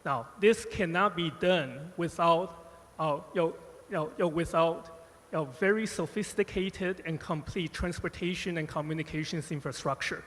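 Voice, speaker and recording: A man's voice: on the high side, moderate pace, a bit bright. A man speaking as a panelist, stumbling through answers with many filler phrases. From born Taiwan, raised United States. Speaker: panelist, company strategist. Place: conference hall stage. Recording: conference hall reverb.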